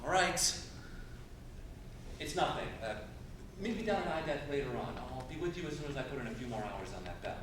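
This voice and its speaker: A man's voice: a little high, animated, brisk, pauses often, lightly acted. A man playing an earnest, uneasy sculptor and sweetheart in a stage play, speaking hesitantly with a testy edge. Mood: mild, affectionate. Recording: very echoey, noisy stage recording from far off.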